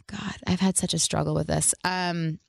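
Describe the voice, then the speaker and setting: A woman's voice: lowish voice, fairly even intonation, a little slow, vocal fry, dry recording, studio quality. A woman in her thirties from the American Midwest, a country singer guesting on a radio show, talking in a bubbly way and laughing often. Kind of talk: casual interview chat. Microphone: studio microphone.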